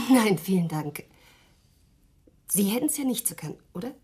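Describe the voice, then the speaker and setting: A lively, slightly husky polite visitor. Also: female voice, medium pitch, a little slow, exaggerated voice. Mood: considerate. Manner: polite, light, laughing easily. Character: friendly, polite, cheerful young woman visitor. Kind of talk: 1980s German radio play acting.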